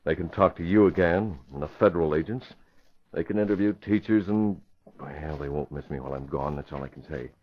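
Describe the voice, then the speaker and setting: An American man playing a calm, decisive publisher and secret vigilante in an old-time radio mystery, measured and commanding. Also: rumbling low, dry recording. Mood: calm, scheming. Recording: dull vintage radio recording.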